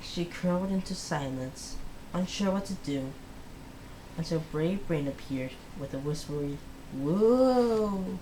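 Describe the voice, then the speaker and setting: A person reading a story aloud for children as host, with a deliberate, halting delivery full of pauses. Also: medium pitch, darkish tone, slight background noise, rough recording.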